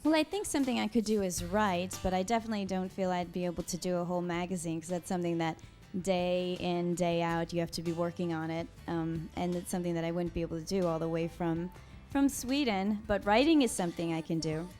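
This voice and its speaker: A woman in her thirties answering interview questions in a casual, thoughtful way, pausing often with soft ums. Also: fairly low pitch, faint music behind. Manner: soft-spoken, thoughtful, with frequent pauses and ums. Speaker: interview guest.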